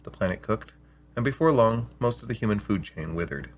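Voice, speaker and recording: A man with a slightly rough voice, hosting and reading scripted stories aloud in an expressive, deliberate way. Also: on the low side, a little hiss or hum. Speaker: storyteller and show host. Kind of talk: scripted story reading with spoken intro.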